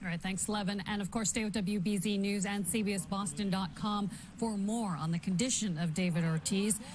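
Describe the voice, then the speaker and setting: A female news anchor reads the headlines and passes to a reporter in an even broadcast voice, with faint room ambience behind her. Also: medium pitch, a little fast, a little hiss or hum.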